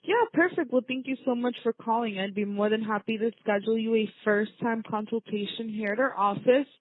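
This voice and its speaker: A woman's voice: on the high side, brisk. A woman working as a receptionist, speaking in a welcoming, positive customer service manner over the phone. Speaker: orthodontic office receptionist. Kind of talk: customer service phone call, semi-scripted. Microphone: telephone. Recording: narrow, tinny phone line, dry.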